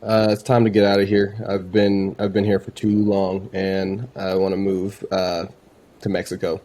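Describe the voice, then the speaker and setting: A man's voice: low-pitched, monotone, normal speed, warm tone. A man giving a casual product demo in an informal, conversational way. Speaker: presenter demonstrating AI voice assistants.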